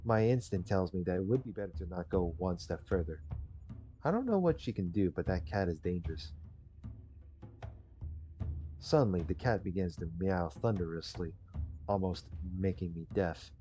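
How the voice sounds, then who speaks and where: A man with a low, dark voice reads a visual novel aloud in a theatrical storytelling manner. The recording is dull and muffled, with music playing behind him. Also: lively intonation, normal speed, long pauses. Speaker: gaming video creator. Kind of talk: reading visual novel text aloud, dramatic narration. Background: game music.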